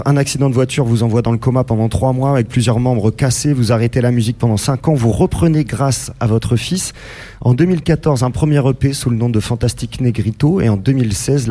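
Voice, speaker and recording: A man's voice: mid-range voice, mellow. A man who interviews a guest, reading an introduction and asking questions with many hesitations.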